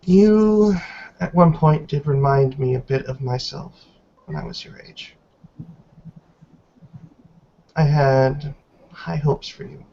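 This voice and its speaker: A man voicing a stern, composed elderly matriarch in a tabletop roleplay, speaking in a calm, formal, measured way. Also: on the high side. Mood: stern disappointment. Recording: dull, noisy online call audio.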